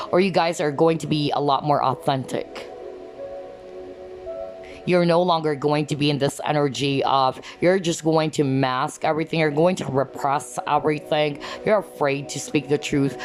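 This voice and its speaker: A woman giving an earnest tarot card reading, often asking "okay?" as she talks, and her voice is going hoarse. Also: low voice, a little mellow. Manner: earnest, repeats "okay?" to viewers. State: losing her voice. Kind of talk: pick-a-card reading to camera.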